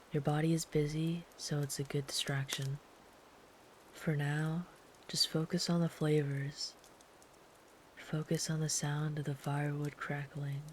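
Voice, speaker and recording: A woman playing a big gentle forest traveler. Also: deep voice, slight background noise, poor audio. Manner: soft, soothing, calm, at times whispered. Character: big, gentle, protective traveler camping in the woods. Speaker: ASMR roleplay performer. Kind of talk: ASMR comfort roleplay.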